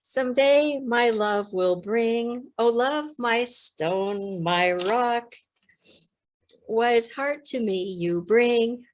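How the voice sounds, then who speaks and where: A woman's voice: on the high side, extremely slow. Giggly self-deprecating woman reading lyrics. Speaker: workshop participant.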